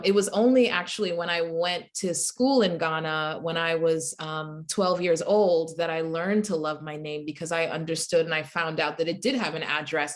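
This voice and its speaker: A woman with a notably low voice speaks as a guest author. She tells personal stories in a conversational, reflective way, with hesitant pauses between thoughts. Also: fairly bright tone.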